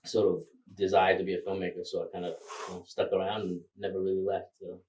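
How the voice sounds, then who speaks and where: A man's voice: sits low, monotone, fast talker, darkish tone, recorded from a distance, low-quality recording. A man from Rwanda, Kinyarwanda his first language, talking loosely as a filmmaker guest with many fillers and laughs. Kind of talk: casual interview.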